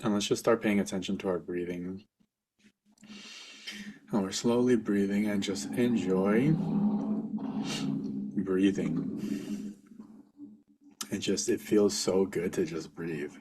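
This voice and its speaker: A man leading a guided meditation for a group as facilitator, speaking informally in a gentle, reassuring, unhurried way. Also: low-pitched, background noise.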